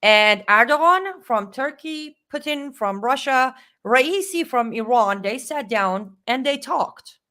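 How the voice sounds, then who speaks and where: A woman with a Middle Eastern accent co-hosting a live stream, talking in a lively, emphatic way. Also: high voice, slow. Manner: animated, talkative, emphatic. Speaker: co-host. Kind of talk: casual live-stream commentary.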